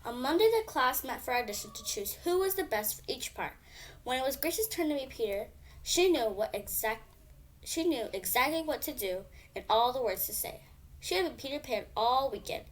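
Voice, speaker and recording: Expressive, high-voiced female storybook reader. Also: moderate pace. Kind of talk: expressive children's bedtime story reading.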